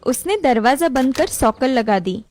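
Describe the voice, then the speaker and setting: High-pitched woman narrating a cartoon fable. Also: lively intonation, unhurried, darkish tone, slight background noise. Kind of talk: scripted moral-story narration for cartoon.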